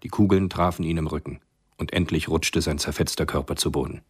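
A man narrating a German radio play as a literary storyteller, with a husky voice. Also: very deep voice, steady tone, fairly quick, very noisy.

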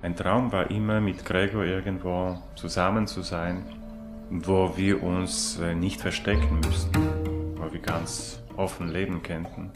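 Man, low voice, pensive halting interview account, guitar music bed. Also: little pitch movement. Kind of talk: reflective personal account.

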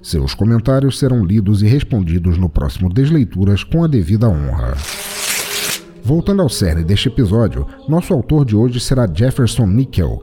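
Brazilian man, scripted story-podcast host: dark, soft-edged tone, very varied intonation, music underneath. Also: on the low side. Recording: music behind the voice.

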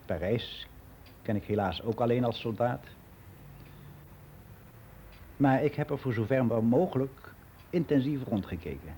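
Male actor as older man giving frank, matter-of-fact radio play monologue; soft dark tone, slightly noisy recording. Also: mid-range voice, expressive, normal speed, lightly acted. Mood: matter-of-fact, reminiscing. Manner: matter-of-fact, rambling, candid.

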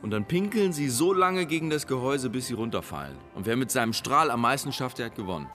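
An actor in a radio play playing a crude, jovial politician who rambles and boasts. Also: male voice, medium pitch, sing-song, quick pace, a bit bright, faint music behind. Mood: boastful, rambling. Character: crude, chatty male politician boasting of boyhood games. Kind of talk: naturalistic radio drama acting.

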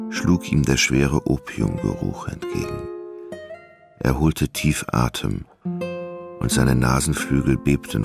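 A man narrating a literary radio drama in a measured, dramatic storytelling manner, in German studio style. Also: rumbling low, creaky. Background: plucked guitar music.

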